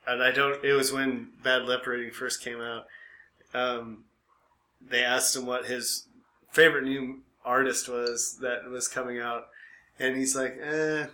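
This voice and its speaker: An American man co-hosting a casual comedy podcast, goofy and excitable, often chiming in. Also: medium pitch, measured pace. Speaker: podcast co-host. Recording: echoey room with background noise.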